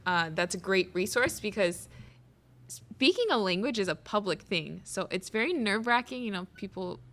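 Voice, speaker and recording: A woman's voice: medium pitch, expressive, normal speed, fairly bright tone. A woman co-hosting a podcast, chatting casually, laughing easily and backing up her partner's points. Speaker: podcast co-host.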